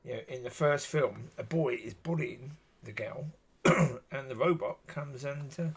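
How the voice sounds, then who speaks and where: A man with a husky voice, talking alone in a rambling, musing way and often saying "yeah". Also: medium pitch, unhurried. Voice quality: husky, gravelly. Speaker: solo video talker. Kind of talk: rambling solo monologue.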